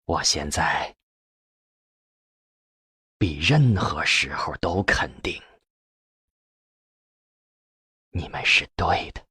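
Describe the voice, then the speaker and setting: A man acts out an older male professor from an audiobook, weary yet stubbornly sure of himself. He speaks slowly and low in a hushed, husky mutter, recorded very dry and close. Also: lively intonation, no room sound, heavily acted. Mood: quiet certainty. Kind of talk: audiobook character dialogue.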